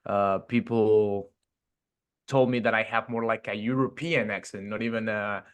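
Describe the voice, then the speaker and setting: Man, from Mexico, native Spanish speaker, relaxed, fillers and restarts, interview guest. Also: on the low side, unhurried, smooth. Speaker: gym owner, guest. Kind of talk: casual interview chat.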